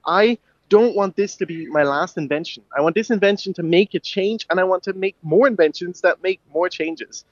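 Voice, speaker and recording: A man's voice: high-pitched, moderate pace, no room sound, highs cut off. A young man from Ireland, a guest inventor in a radio interview, talking eagerly and laughing easily. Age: young adult.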